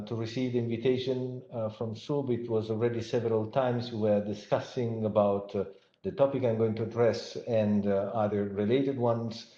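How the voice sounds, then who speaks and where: A middle-aged man with an Italian accent delivers an online lecture in a mellow, low voice. He pauses often and restarts his sentences. The sound is roomy and muffled, as over a remote call. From Italy. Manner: halting, frequent fillers and restarts. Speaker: guest lecturer, professor. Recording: echoey, dull lo-fi online audio.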